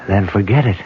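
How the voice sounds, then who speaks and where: An actor playing a patient, persistent detective husband who speaks in a gentle, coaxing way. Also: male voice, lowish voice, slight background noise. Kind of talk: 1970s radio drama acting. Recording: dull old radio broadcast.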